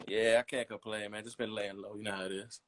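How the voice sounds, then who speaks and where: A man in his thirties, a brand designer, chatting in a relaxed interview and rambling easily with lots of "you know what I mean". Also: on the low side, quick pace. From United States. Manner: easygoing, rambling, leans on "you know what I'm saying".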